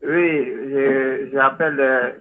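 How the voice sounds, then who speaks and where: Lo-fi phone line, husky man, Ivorian French accent, even intonation, halting earnest caller. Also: medium pitch. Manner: repetitive, halting phrasing. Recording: muffled, lo-fi phone call.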